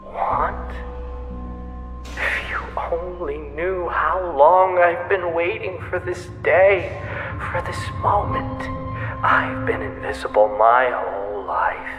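An actor playing an unhinged, bitter, obsessive serial killer in an audio drama, halting and menacing, moving between breathy hush and outbursts. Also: male voice, on the high side, animated. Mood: intense, triumphant. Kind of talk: theatrical audio drama monologue. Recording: echoey reverberant hall, faint music behind.

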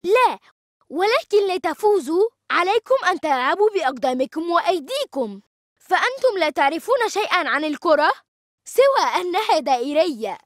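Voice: very high voice, sing-song, fairly quick, a bit bright, dry recording, studio quality, full character voice. An actor voicing a cheeky young boy in a cartoon, who teases and mocks his siblings with sarcasm. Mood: teasing. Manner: sarcastic, teasing. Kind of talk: animated cartoon dialogue.

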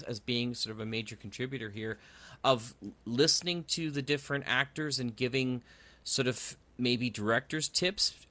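A man's voice: medium pitch, sharp tone. A man hosting a conversational interview, phrasing his questions in a roundabout, tentative way. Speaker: podcast host and interviewer.

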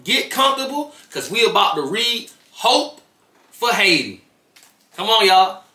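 A man's voice: high-pitched, bright, forceful tone. A young man hosting a children's read-aloud show, speaking informally and straight to his young listeners. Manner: informal, slangy, addressing young listeners directly. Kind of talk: casual intro and storybook read-aloud. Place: small room indoors.

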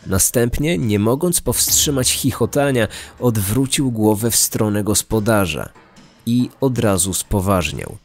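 A man narrating a scripted true-crime story in a measured, serious way. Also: fairly low pitch, animated. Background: background music.

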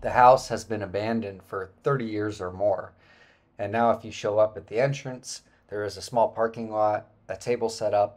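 Low-voiced man narrating a travel vlog. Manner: relaxed, explanatory, with frequent "uh" fillers. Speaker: travel vlogger. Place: indoor room, then voice-over on hike footage.

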